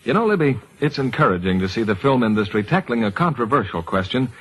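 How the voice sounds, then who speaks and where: A man's voice: medium pitch, very animated, fast talker, husky, poor audio. An American man announcing a scripted 1940s radio commercial in polished sales patter.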